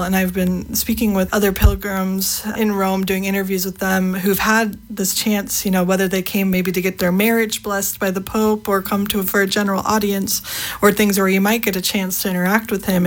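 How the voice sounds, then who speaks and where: Woman, reporter as interview guest, medium pitch, conversational storytelling. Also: poor audio. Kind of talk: conversational interview, personal anecdotes.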